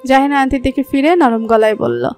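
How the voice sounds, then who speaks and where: High-voiced woman narrating a love story. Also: a little fast. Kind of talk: scripted narration of a romantic story. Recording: soft background music.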